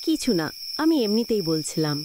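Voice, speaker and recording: A woman acting a devoted, gentle but firm young village wife in a scripted cartoon drama, speaking tenderly and earnestly. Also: medium pitch, big pitch swings, normal speed, background noise, close to an everyday voice. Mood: pleading, tender.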